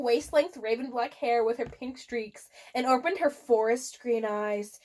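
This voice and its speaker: A woman with a fairly high voice reads a story aloud for comic effect. Her delivery is theatrical and giggly, as a dramatic reading among friends. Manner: giggly, theatrical, often breaks into laughter. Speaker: reader of a fan fiction.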